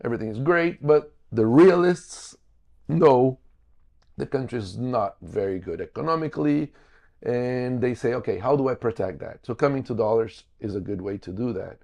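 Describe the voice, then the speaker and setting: Gravelly-voiced man from Brazil. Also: mid-range voice, animated, moderate pace, darkish tone. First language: Portuguese. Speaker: podcast guest, financial business owner. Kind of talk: explanatory interview talk.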